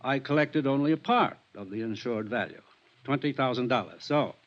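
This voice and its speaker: A man's voice: medium pitch, expressive, moderate pace, lightly acted. A man acting in a 1950s radio drama as a wealthy, genteel, evasive homeowner, speaking in a polite, formal, slightly haughty way. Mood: regretful, formal. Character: genteel, wealthy, evasive male mansion owner. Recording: muffled 1950s radio recording.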